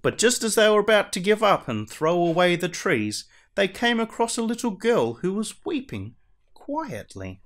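A man acts out a masked superhero at storytime, reading a picture book to children. The voice sits slightly high and swings widely in pitch. The delivery is theatrical and deliberate, drawing out the ends of phrases. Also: big pitch swings, a bit bright. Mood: animated storytelling. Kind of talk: animated picture-book read-aloud.

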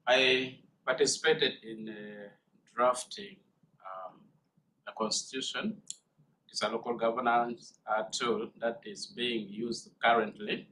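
A young man from northern Uganda, presenting in a seminar room and pausing often with uh. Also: medium pitch, fairly even intonation, bright, forceful tone, a little hiss or hum, low-quality recording. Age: young adult. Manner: measured, with many uh pauses. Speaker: guest speaker, student.

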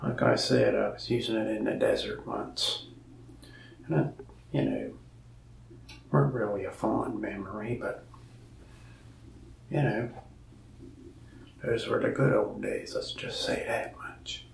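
A young man in a soft-spoken ASMR roleplay as a shop salesman, whispering and rambling in a folksy storytelling way. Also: on the low side, expressive, slow, vocal fry, pauses often, reverberant, recorded from a distance, a little hiss or hum, rough recording.

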